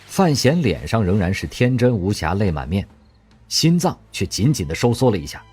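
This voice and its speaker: A man narrating an audio drama with expressive storytelling. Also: fairly low pitch, moderate pace, slightly rough. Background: faint music.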